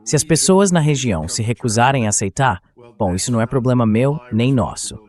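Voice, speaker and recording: A man's voice: medium pitch, animated, brisk, darkish tone. An AI-voiced man reading a translated dub of an interview guest's political analysis. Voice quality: synthetic AI voice-over.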